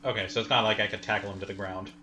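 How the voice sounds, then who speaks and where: A man's voice: lowish voice, fairly even intonation, rapid-fire, pushed and bright, a little hiss or hum. A man chatting casually as a player in a tabletop role-playing game.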